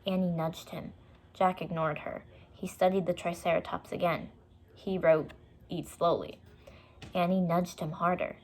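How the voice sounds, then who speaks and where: A woman's voice: lowish voice, level tone, normal speed, darkish tone. A woman storyteller narrating a children's book, with short explanatory asides for young listeners.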